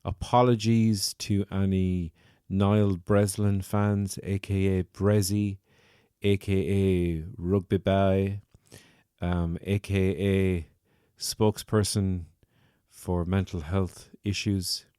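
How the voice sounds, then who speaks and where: A man's voice: sits low, little pitch movement, takes it slowly, dark tone, clear, resonant tone, dry recording, clean studio sound. A man hosting a solo comedy podcast, talking in a wry, rambling, self-mocking way. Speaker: podcast host.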